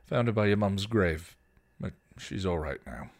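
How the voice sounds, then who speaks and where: A man reads a male vampire in a storybook drama. He is a casual, soothing rogue with British slang and a lowish pitch. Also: animated, unhurried, no echo, heavily acted. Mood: placating.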